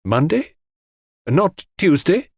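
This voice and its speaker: Man playing a formal, probing coroner, raised pitch. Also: sing-song, breathy edge, dry recording, clean studio sound, exaggerated voice. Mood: stern. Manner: formal, measured questioning. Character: probing, courteous male coroner, stern and official. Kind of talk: audiobook character dialogue.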